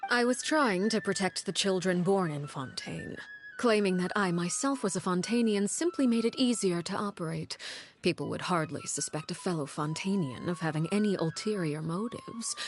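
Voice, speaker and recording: An actress playing a calculating woman of authority in a video game, speaking in a measured, formal, guarded way with dry wit. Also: lowish voice, animated, close to an everyday voice. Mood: composed, guarded. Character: cool, calculating, commanding adult woman of authority. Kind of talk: anime-style video game voice acting. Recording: music faintly behind the voice.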